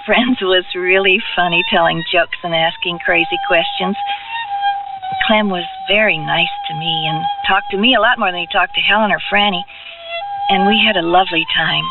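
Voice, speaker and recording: An actress playing a chatty, coy young woman of twenty-two in a naturalistic radio drama. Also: mid-range voice. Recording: distant phone-like radio, music behind.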